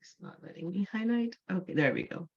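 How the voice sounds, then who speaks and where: A woman's voice: fairly low pitch, lively intonation, a little fast, vocal fry, husky. Patient woman teaching over a dull call. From Canada. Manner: explains patiently, stretching and repeating key words for emphasis. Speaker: English teacher. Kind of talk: online class lesson.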